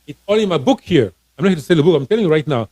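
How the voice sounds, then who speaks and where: A man's voice: on the high side, lively intonation, rapid-fire, mellow, no room sound. A man from Cameroon, a financial coach and author, giving casual advice on a live stream, earnest and encouraging, often restarting his words.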